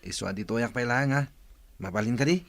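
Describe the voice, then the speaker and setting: A man playing a polite young villager in a radio drama, pleading gently with his elders. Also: medium pitch, fairly quick, creaky, no room sound. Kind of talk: Ilocano radio drama acting.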